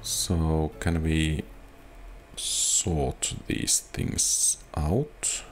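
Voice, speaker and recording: A man's voice: a bass voice, very slow. A man giving casual gameplay commentary, thinking aloud as he sorts through items. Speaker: gameplay streamer.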